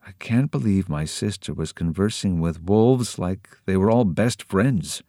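A man's voice: low voice, measured pace, dark tone, no room sound, studio quality. A man voicing a hungry, eager young boy who speaks in a raised, keen voice.

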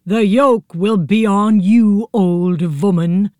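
A woman voicing a crowd of sneering, joking egg-yolk invaders, slow and gloating. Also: mid-range voice, darkish tone, no room sound, clean studio sound, lightly acted. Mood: mocking. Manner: gleeful, mocking, drawn-out.

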